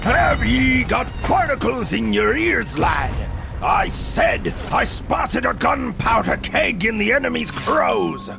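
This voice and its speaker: A man playing a gruff, domineering pirate captain in an audio drama, barking orders in a gravelly stage-pirate accent. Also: very high voice, big pitch swings, slow pace, over music, full character voice. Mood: angry, commanding.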